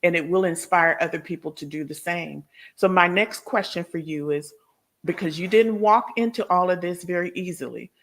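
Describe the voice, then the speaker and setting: A woman's voice: lowish voice, moderate pace. A woman hosting a conversational interview, sharing personal stories and putting questions to her guest. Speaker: show host interviewer.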